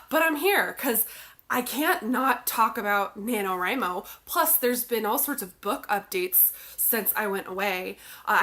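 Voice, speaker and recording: A young woman talking straight to camera in a chatty, bubbly way, filling her sentences with likes and ums. Her voice is bright and a little high, with a stuffy, congested sound from a cold. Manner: chatty, bubbly, many "like" and "um" fillers. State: congested from the flu. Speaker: author and video blogger. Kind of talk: casual chat to camera.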